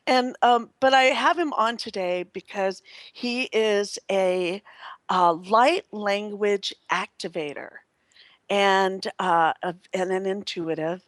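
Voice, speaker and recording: A woman hosts a radio show in a chatty, effusive way. Her voice sits at a medium pitch for a woman and is recorded close and dry. She talks casually and off the cuff, meandering as she goes. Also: slow pace, no room sound. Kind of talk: casual unscripted radio talk. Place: live internet radio broadcast.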